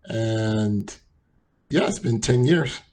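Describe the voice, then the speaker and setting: A man's voice: fairly low pitch, slow, darkish tone. A middle-aged man co-hosting a podcast in a casual, conversational chat. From United States and Nigeria. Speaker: podcast co-host.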